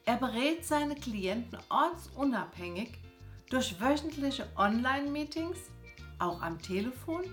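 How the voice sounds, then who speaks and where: A woman's voice: on the high side, echoey room. A woman hosting a podcast, introducing and interviewing a guest in a conversational way.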